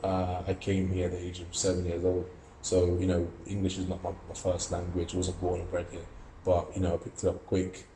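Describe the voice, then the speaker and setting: A man's voice: sits low, monotone, fairly quick, mellow, echoey room, a little hiss or hum, poor audio. A young man from Russia, an amateur boxer and student, giving earnest, reflective interview answers with many fillers and restarts. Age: young adult.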